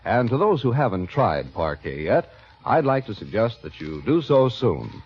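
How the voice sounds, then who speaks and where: A man working as a radio announcer, giving a scripted sponsor spiel and show narration with smooth, polished salesman delivery. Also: lowish voice, very animated, normal speed. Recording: dull 1940s radio broadcast.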